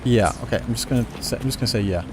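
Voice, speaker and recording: A man's voice: medium pitch, racing, noisy background. A man giving casual gameplay commentary as a streamer, with offhand muttered asides. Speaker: streamer, game player.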